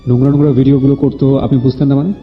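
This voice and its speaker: A man's voice: mid-range voice, flat delivery, rapid-fire. A man hosting an interview, putting short probing questions and brief acknowledgements to his guest. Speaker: interviewer, radio-style host. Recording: roomy, dull sound over music.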